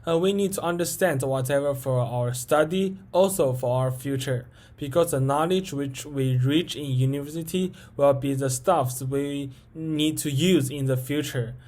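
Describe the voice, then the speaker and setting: A young man, a native Chinese speaker and international university student, answers set questions for a spoken English assignment, hesitant and halting, with fillers and restarts. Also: on the high side, a little mellow.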